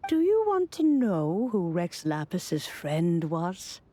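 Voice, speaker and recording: A woman playing a wise, long-lived female mentor in a video game, speaking in a gentle, measured, formal storytelling way. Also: lowish voice, sing-song, heavy background noise. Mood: wistful. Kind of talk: video game character acting. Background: music.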